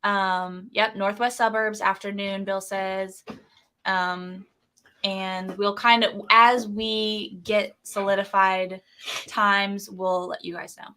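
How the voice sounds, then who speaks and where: A woman co-hosting a relaxed livestream about whiskey, speaking openly and earnestly with a hint of self-consciousness. Her voice is bright and sharp. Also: mid-range voice, slow pace, clean tone. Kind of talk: casual livestream chat.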